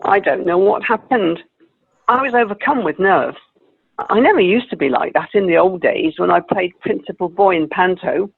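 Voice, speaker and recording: A woman's voice: fairly low pitch, normal speed, vocal fry, slightly rough. A woman playing a sweet, chatty old lady in a comic radio play, genteel and a little wistful. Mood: rueful. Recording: tinny narrow-band video call audio.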